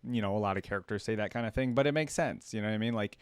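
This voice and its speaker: A man's voice: lowish voice, very fast, smooth, dry recording. A man hosting a reaction video, commenting casually and slangily as he muses aloud into a close microphone. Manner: informal, slangy, musing aloud.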